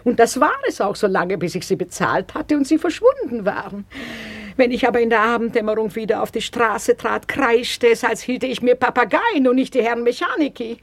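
A haughty lady at the end of her patience. Her voice is on the high side, and she delivers her complaints with theatrical, mocking emphasis. The radio drama recording is close and dry. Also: lively intonation, a little fast, no room sound. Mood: indignant, exasperated. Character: exasperated, haughty, mocking lady of the house Margarida. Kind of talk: German radio play acting.

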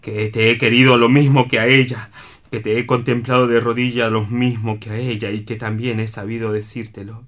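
Un actor como hermano amargado y atormentado. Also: male voice, medium pitch, level tone, moderate pace, a bit bright, lightly acted. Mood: tormented, impassioned. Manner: impassioned, reproachful, self-pitying. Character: bitter, anguished younger man, envious overlooked brother. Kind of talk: radio theatre acting.

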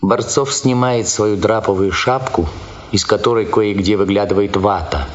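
A man's voice: on the low side, moderate pace. A man reading scripted stage narration for a radio drama. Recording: muffled, echoey radio recording.

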